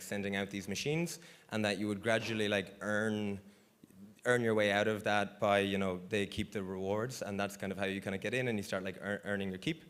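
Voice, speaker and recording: A man speaking on a conference stage, answering audience questions in a loose, casual way full of fillers. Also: on the low side. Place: large conference hall stage.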